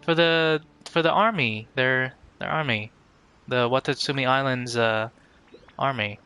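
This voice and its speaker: A man hosting a live game stream, chatting casually and politely with viewers in a halting way, searching for words. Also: mid-range voice, sharp tone, clean tone.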